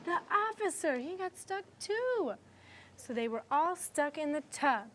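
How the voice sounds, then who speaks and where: A young woman teacher with a fairly high voice speaks in an expressive, encouraging way. There is faint background noise around her as she instructs. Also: unhurried. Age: 20s. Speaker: afterschool teacher. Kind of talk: explaining teaching methods and leading a children's read-aloud.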